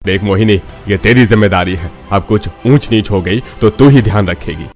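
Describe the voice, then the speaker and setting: A man's voice: on the low side, normal speed, soft background music, close to an everyday voice. A man voicing a domineering, angry middle-aged father in a family cartoon, commanding and scolding. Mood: stern. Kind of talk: Hindi cartoon family drama acting.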